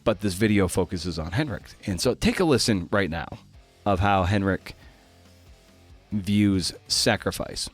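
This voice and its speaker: A man's voice: low-pitched, unhurried, soft background music. A man in his forties hosting a podcast solo, thinking aloud in a casual, conversational, self-questioning way. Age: 40s. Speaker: podcast host. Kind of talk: reflective solo podcast monologue.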